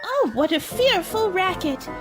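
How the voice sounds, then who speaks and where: A woman's voice: very high voice, very animated, measured pace, over loud music, full character voice. A woman playing a polite, curious young girl in a radio play, talking with mannered wonder.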